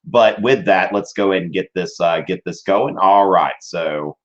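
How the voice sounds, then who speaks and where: Brief: man, 30s, strained voice, rambling history teacher on a livestream, low voice, muffled webcam mic. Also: normal speed. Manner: rambling, filler-heavy, checks in with "okay?". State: voice strained from streaming. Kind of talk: livestreamed online class. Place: small room at home. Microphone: webcam at desk.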